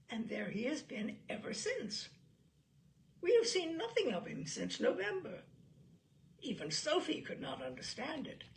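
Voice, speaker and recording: A woman's voice: sits high, vocal fry, slight background noise, voice pushed up, full character voice. A woman voicing a bluff, hearty, chatty older male admiral in an audiobook, blustery and rambling with emphatic delivery. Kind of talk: audiobook character dialogue.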